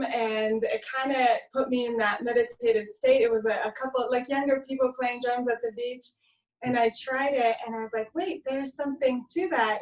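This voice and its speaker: A woman from Romania who grew up in South Florida, chatting as an interview guest over a video call, giggly between phrases. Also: fairly high pitch. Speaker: interview guest, sound healer. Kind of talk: casual conversational interview. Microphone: video call microphone, slightly distant. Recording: slightly distant video-call mic.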